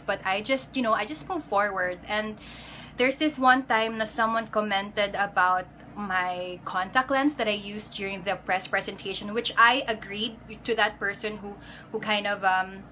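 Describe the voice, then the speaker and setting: A woman's voice: medium pitch, fairly even intonation, moderate pace, pushed and bright, slight background noise, poor audio. A young woman in her twenties with a Filipino accent, chatting casually on a livestream, greeting viewers by name and slipping into Tagalog. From Philippines. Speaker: pageant candidate.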